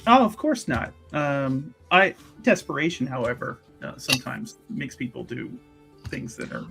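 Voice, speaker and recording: A man's voice: medium pitch, very animated, a little slow, vocal fry, over music. A man voicing a polite, pompous female mage investigator in a tabletop roleplaying game, with formal, wordy, hesitant speech. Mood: insinuating.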